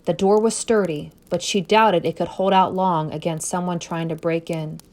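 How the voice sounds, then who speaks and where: A woman reading a scary story aloud as narrator, expressive and suspenseful, with deliberate phrasing. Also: fairly low pitch. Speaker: story reader.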